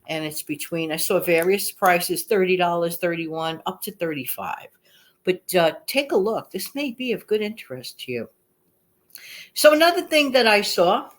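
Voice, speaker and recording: A woman with a slightly nasal voice hosting a casual, chatty vlog, speaking emphatically and repeating phrases for effect. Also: mid-range voice. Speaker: channel host.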